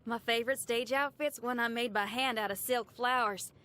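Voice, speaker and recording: A woman's voice: on the high side, steady tone, normal speed, sharp tone. A woman playing a brash, upbeat, free-spirited young female rock musician in a video game, with a folksy, slangy and enthusiastic way of talking. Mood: chatty, expressive. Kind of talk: video game character voice lines.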